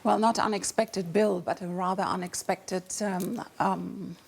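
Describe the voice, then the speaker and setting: A woman's voice: mid-range voice, fairly even intonation, unhurried, creaky, husky. A woman answering a judge formally as a litigant on a TV court show, phrasing things carefully.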